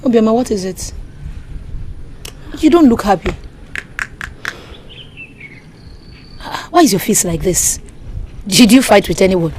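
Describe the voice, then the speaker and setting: A woman's voice: medium pitch, animated, normal speed, darkish tone, a little hiss or hum, close to an everyday voice. An actress playing a concerned young village woman with a Nigerian accent, mildly questioning a friend in a drama. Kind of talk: Nollywood village drama acting.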